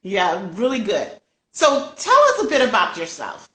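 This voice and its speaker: A woman's voice: medium pitch, very animated, normal speed, a bit bright, roomy sound, low-quality recording. An older woman hosting a casual book interview in a chatty, effusive, talkative way. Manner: talkative, effusive with frequent interjections and ready laughter. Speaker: host of a children's book channel.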